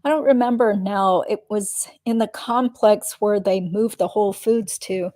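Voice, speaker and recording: A woman playing a female witness answers under questioning in a deposition. Her voice sits at a medium pitch in a very dry recording. She is guarded and careful, committing to little. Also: a little slow, mellow, dry recording, natural voice. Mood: hesitant, recalling. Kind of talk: dramatic reading of a deposition transcript.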